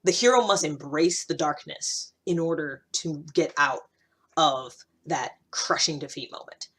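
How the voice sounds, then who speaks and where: Low-voiced woman in reflective, emphatic monologue. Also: unhurried, fairly bright tone, breathy edge. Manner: conversational and emphatic, repeats phrases for emphasis. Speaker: host of a personal development podcast.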